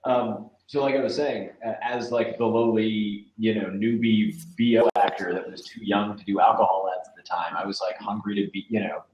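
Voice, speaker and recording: A man's voice: fairly low pitch, steady tone, normal speed, hardly any pauses, noisy background. A man in his twenties chatting casually and informally as an interview guest, rambling a little as he explains his work. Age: 20s. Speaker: voice actor and podcast producer, interviewed guest. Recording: roomy, echoing sound.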